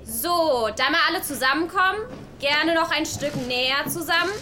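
An actress playing a brisk, polite photographer in an audio drama, with an instructing manner. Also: female voice, high voice, noisy background, lightly acted. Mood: chirpy. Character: brisk polite woman photographer directing a group.

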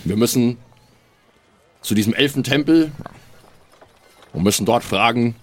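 A man's voice: medium pitch, unhurried, natural voice. A man playing a grudging, pragmatic adventurer in a tabletop game, blunt and matter-of-fact. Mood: resigned. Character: grudging, pragmatic male adventurer resigned to his quest.

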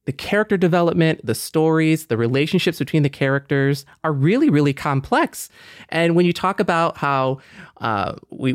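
Man, smooth clear voice, medium pitch, dry close sound, casual podcast chat. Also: no echo. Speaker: podcast panelist. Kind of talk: casual conversation.

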